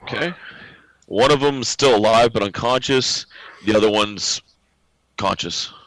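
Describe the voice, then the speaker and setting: Man, game master, low voice, joking, laid-back, dry close sound. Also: unhurried, dry recording, poor audio. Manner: relaxed, joking, laughs often. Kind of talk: running a tabletop game.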